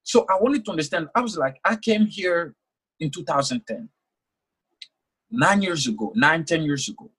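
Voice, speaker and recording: A man's voice: on the high side, expressive, moderate pace, a bit bright, husky. A young man whose first language is French, speaking earnestly as an author in an interview and often repeating words while he thinks. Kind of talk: conversational interview.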